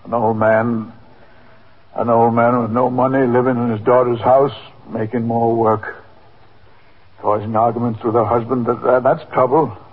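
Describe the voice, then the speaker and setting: An actor playing a dejected, apologetic old father in a 1950s radio drama, speaking haltingly in a gravelly, husky voice. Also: mid-range voice, level tone, natural voice. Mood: apologetic, self-blaming. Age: older. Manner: halting, apologetic, repeats himself. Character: dejected, self-effacing old father who feels a burden. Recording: muffled narrow-band 1950s radio recording.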